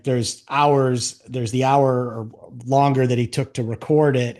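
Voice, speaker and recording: A man's voice: mid-range voice, a little mellow. A man hosting an interview podcast in a casual chat style, thinking aloud in loose, rambling sentences.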